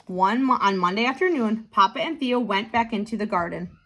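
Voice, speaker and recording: A woman narrating a picture book for children, expressive and deliberate, lingering on key words. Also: mid-range voice, lo-fi sound. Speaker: tutor reading a children's book aloud.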